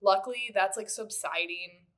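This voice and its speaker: A woman's voice: medium pitch, normal speed. A woman co-hosting a casual podcast, speaking in emphatic, sweary slang. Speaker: podcast co-host.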